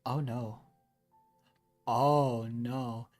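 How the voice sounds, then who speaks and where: A woman's voice: extremely low pitch, steady tone, extremely slow, a little mellow, faint music behind. A woman streamer giving casual live game commentary, musing aloud and sympathetic to the characters.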